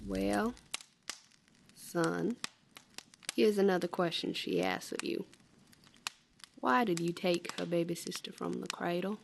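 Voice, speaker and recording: A woman plays an old American country granny, kindly and shrewd, in a radio western. She draws her words out slowly with a creaky crackle and fond, folksy manner, over faint noise. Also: lowish voice, very animated, exaggerated voice. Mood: calm, probing. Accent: American Southern or Western. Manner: slow, folksy, endearments like child and darling. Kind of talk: folksy radio drama acting.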